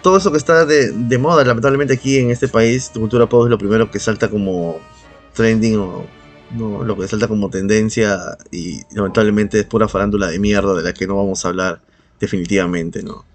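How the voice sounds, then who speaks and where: A man's voice: on the low side, fairly even intonation, fairly quick, faint music behind. A man hosting a podcast in casual, humorous chat, with an irreverent, profane way of talking. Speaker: podcast host.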